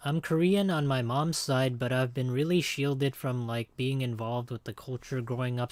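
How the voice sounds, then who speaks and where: A young man with an American accent, chatting casually while gaming and rambling with lots of fillers and restarts. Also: mid-range voice. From born in Hong Kong, raised in the United States. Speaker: streamer.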